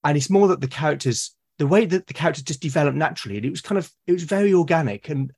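A man from Britain, a podcast guest, talking eagerly about his characters, with lots of restarts and a slightly husky voice. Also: fairly high pitch, animated, rapid-fire, darkish tone. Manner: enthusiastic, rambling with many restarts. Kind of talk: casual podcast conversation.

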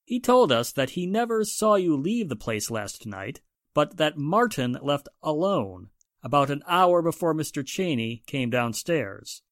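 An actor playing a stern police inspector questioning suspects pointedly, in 1940s-style radio drama. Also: male voice, a little high, sing-song, fairly bright tone, no room sound, clean studio sound. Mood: probing. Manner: pointed, deliberate interrogation.

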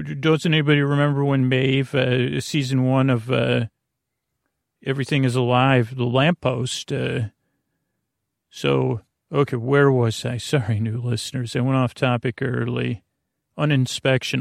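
A man with a creaky voice hosting a bedtime podcast, rambling in a lulling, stammering way and wandering off on tangents. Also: medium pitch, no echo, studio quality. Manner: meandering, stammering, goes off on tangents; lulling, soothing. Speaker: host of a bedtime sleep podcast.

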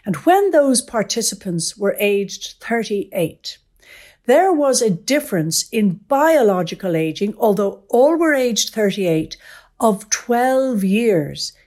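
A woman professor explains science as a guest in an interview. Her voice sits at a middle pitch, and she speaks in an articulate, enthusiastic way. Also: big pitch swings, slow pace, a little mellow.

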